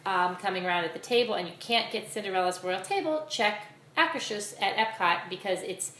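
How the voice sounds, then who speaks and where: A woman's voice: medium pitch, brisk, fairly bright tone. A middle-aged woman co-hosting a podcast, chatting casually with joking asides. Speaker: podcast co-host. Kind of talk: casual chat. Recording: echoey room, faint ambient noise.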